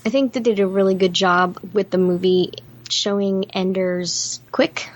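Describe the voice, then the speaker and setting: A woman with a mid-range voice talks as a podcast co-host. She is chatty and informal, curious about details and quick to giggle as she discusses stories and films. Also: medium pitch, fairly even intonation. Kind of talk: casual film and book discussion.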